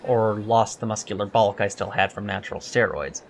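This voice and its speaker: A man's voice: lowish voice, normal speed. A man playing an eager, emotional young alien cadet in an audio drama interview, hesitant, polite and self-deprecating. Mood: animated, candid.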